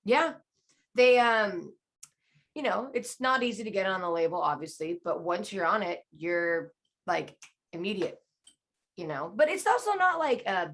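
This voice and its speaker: A woman with a naturally low voice, talking candidly and rambling as a band guest in a casual interview. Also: lively intonation, measured pace. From Southern California. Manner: rambling, candid, lots of fillers.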